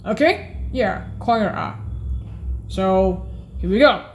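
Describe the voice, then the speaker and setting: A woman giving an informal, halting demo of keyboard sounds, listing names one by one in a hesitant, deliberate way. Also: mid-range voice, lively intonation, slight background noise. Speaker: presenter demonstrating keyboard voice sounds.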